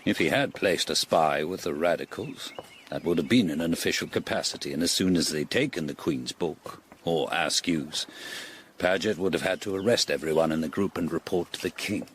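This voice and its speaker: An actor playing a shrewd, commanding older Tudor lord in a radio drama, with an English accent and an authoritative, deliberate, aristocratic delivery. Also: male voice, low-pitched, slight background noise, close to an everyday voice. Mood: shrewd, weighing.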